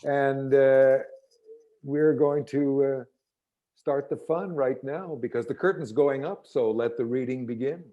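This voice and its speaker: A man acts as host of an event. He speaks casually, in a medium-pitched male voice, as he moves the programme along. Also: steady tone, a little slow, dark tone, muffled recording. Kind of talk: casual introduction.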